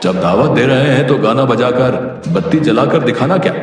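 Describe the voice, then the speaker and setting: A man's voice: mid-range voice, lively intonation, normal speed, close to an everyday voice. Man as a wily miser boss, very echoey. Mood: self-justifying. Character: wily, miserly rich businessman, smugly self-justifying. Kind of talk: cartoon story dialogue. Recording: very echoey, distant, music underneath.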